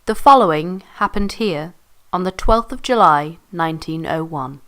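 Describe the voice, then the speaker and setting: A woman's voice: fairly low pitch, expressive, normal speed, a bit bright. A woman narrating as an audio walk guide, scripted, precise and matter-of-fact.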